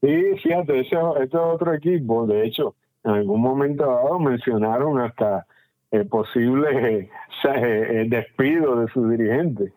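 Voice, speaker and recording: A man's voice: medium pitch, measured pace, dark tone, dry recording, rough recording. A middle-aged man with a Puerto Rican accent, a baseball general manager answering radio interview questions with many restarts and fillers. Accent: Puerto Rican Spanish. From Puerto Rico.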